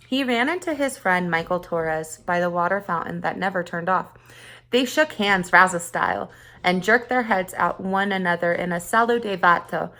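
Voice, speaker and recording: A woman's voice: lowish voice, creaky, a bit bright. Woman reading a school story aloud. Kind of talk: read-aloud narration of a children's short story.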